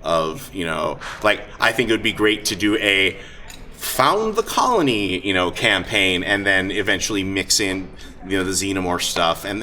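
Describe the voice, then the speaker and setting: A man hosting a live event as game master, talking off the cuff in a halting way and often repeating words. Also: fairly low pitch, sharp tone. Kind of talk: unscripted talk to a live audience. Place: busy gaming convention. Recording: live convention hall, heavy crowd noise.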